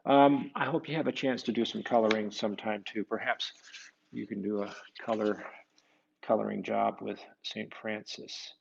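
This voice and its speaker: A man reading a children's picture book aloud as a priest, with musing, halting asides to his young listeners. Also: on the low side, steady tone, gravelly, lo-fi sound, slight background noise. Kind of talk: storybook read-aloud with casual asides to children.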